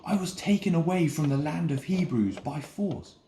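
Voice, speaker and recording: A man's voice: fairly high pitch, lively intonation, moderate pace, dark tone, reverberant, a little hiss or hum, voice pushed up. A man voicing Joseph, an earnest young prisoner in a Bible story, speaking emphatically and pleadingly.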